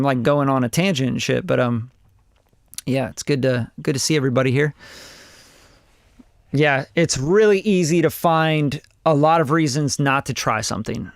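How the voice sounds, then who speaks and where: Gravelly blunt man in his forties. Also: a little high, dry recording, clean studio sound. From Costa Rica. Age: 40s. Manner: rambling, profane, blunt. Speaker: music producer reviewing songs live. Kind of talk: casual livestream chat.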